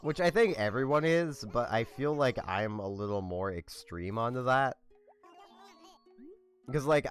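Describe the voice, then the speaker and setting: A young man hosting a let's play, talking in a monotone, low-key, rambling way with a slightly scratchy voice. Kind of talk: casual gameplay commentary.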